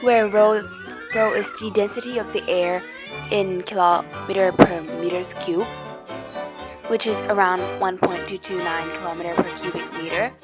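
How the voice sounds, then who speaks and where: A woman's voice: medium pitch, fairly even intonation, moderate pace. A young female student explains technical material in a school project narration, with music playing underneath. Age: teens to young adult. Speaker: student presenter.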